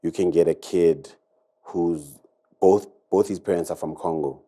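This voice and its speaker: A man's voice: low-pitched, fairly even intonation, measured pace, mellow. A man, a recording artist, reflecting in a rambling casual chat full of fillers. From South Africa.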